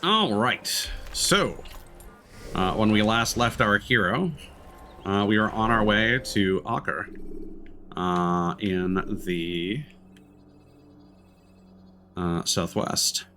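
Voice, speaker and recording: A man's voice: fairly low pitch, lively intonation, very slow, pauses often, pushed and bright. A man giving casual let's-play commentary as a game streamer, thinking aloud in a hesitant way. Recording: game music behind the voice. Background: video game music.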